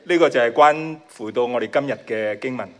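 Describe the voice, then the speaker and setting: Hong Kong-accented male preacher, native Cantonese speaker, modest and giggly, pauses often for his interpreter. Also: medium pitch, fairly bright tone. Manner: modest, with shy laughs between phrases. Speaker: guest preacher. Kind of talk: sermon delivered in short chunks for an interpreter.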